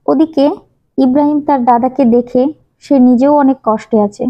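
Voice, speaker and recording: A woman's voice: high-pitched, steady tone, normal speed. A woman narrating a children's story from a script. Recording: dull, lo-fi sound.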